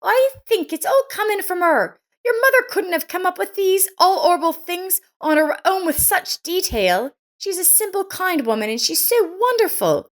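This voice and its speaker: A kind young neighbor woman played by a female narrator speaks in a high, raised voice. She is earnest and emotional, like a character in an audio life story. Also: expressive, moderate pace, few pauses. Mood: bitter, sympathetic. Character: kind, bitter young neighbor woman defending an old mother.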